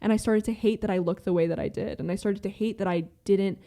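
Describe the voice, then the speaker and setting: Young woman rambling candidly, mellow voice. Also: mid-range voice, fairly even intonation. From American with Iranian family. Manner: fast rambling talk, heavy use of "like" and swearing. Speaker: podcast guest. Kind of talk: candid casual conversation.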